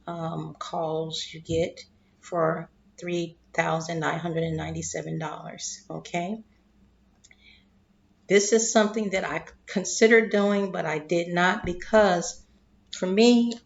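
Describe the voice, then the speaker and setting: A woman explaining investing in a slow, deliberate way, clearing her throat and losing her voice, often checking in with "okay?". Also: fairly low pitch, dull audio. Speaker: investing vlogger. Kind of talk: casual explanatory monologue.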